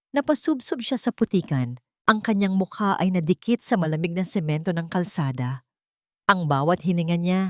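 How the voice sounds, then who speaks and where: A woman's voice: sits low, dark tone, no room sound, clean studio sound. A woman reading a scripted story as an audiobook narrator, in a dramatic storytelling style.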